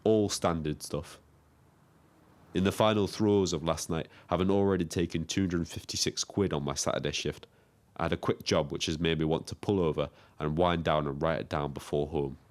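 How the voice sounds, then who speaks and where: An actor playing a lonely, observant young British taxi driver, narrating in a measured, wry, literary way. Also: male voice, extremely low pitch, fairly even intonation. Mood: wry, reflective. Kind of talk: scripted first-person narration.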